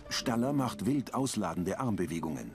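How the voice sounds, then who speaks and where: A man with a lowish voice reads audio description in a calm, neutral way. Clear soundtrack music plays under his voice. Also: moderate pace.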